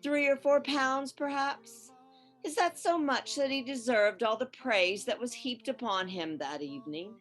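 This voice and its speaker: A woman playing a solemn, measured ghostly spirit who guides and questions in a radio play. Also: fairly high pitch, fairly bright tone, faint music behind, rough recording. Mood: pointedly questioning.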